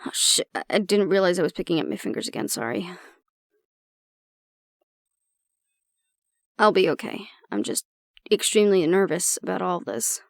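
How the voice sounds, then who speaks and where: A woman playing an anxious young woman with a tough front and a soft core, confiding casually and with swearing in an intimate audio roleplay. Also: on the low side, sing-song, fast, creaky, no echo, clean studio sound. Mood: nervous, apologetic.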